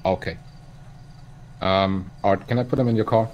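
Man, low voice, improvising a police officer for game role-play; informal procedure talk, slight background noise. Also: fairly even intonation, moderate pace, highs cut off.

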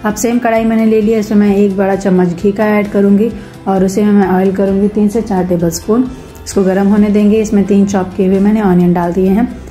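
A woman's voice: medium pitch, level tone, brisk, warm tone, faint music behind. A woman hosting her own cooking channel, talking through a recipe step by step in a casual voice-over and mixing English cooking terms into her sentences.

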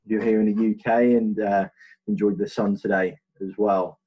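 A man with a low, husky voice welcomes people as a casual event host. His voice comes through a dull, lo-fi video call. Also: fairly even intonation, normal speed. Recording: muffled lo-fi video call audio.

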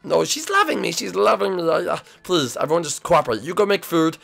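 A man voicing an excitable, whiny seven-year-old cartoon monster boy who nervously repeats himself. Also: mid-range voice, fairly quick, creaky, soft background music, natural voice. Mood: pleading. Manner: nervous, boastful, whiny, repeats words frantically.

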